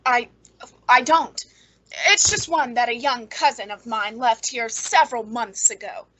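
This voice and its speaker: A woman acting a bitter, clever, quietly menacing character in an old-time radio suspense play, brooding and pointed in delivery. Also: on the high side, a little slow, poor audio. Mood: guarded.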